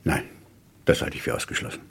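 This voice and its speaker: A man acting a grave, discreet professor of surgery in a German radio crime drama, gravelly and husky, speaking formally with careful hesitations. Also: fast talker, bright, forceful tone, close to an everyday voice. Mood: reserved, careful.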